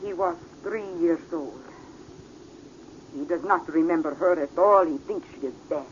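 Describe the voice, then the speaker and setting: An actress playing a worldly, passionate Italian woman with an Italian accent, speaking formally and theatrically in a vintage radio drama. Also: sits low. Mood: bitter, pleading. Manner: theatrical, deliberate, formal foreign phrasing. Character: worldly Italian woman, mature, passionate, secretly protective mother. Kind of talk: 1940s radio drama acting. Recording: muffled, noisy vintage radio recording.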